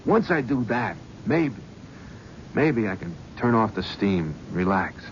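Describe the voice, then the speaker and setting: An actor playing a driven, haunted talent scout in a 1970s radio drama, bitter and sardonic. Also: male voice, lowish voice, sing-song, takes it slowly. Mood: restless. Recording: dull old radio broadcast with faint hiss.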